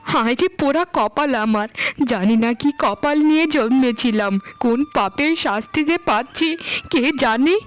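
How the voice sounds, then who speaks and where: A woman's voice: high voice, a little slow. A woman voicing a landlord's ageing wife in a cartoon, lamenting melodramatically as a mother fallen on hard times. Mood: bitter lament. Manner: melodramatic, wailing, stammering when shocked. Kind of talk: Bengali cartoon melodrama acting.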